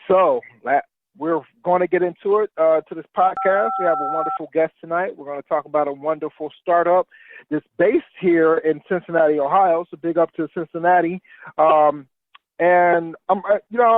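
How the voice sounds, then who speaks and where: A man's voice: a little high, no echo. A man hosting a podcast, giving announcements and introducing a guest in a rambling, casual way with many fillers. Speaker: podcast host. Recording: dry phone-line sound.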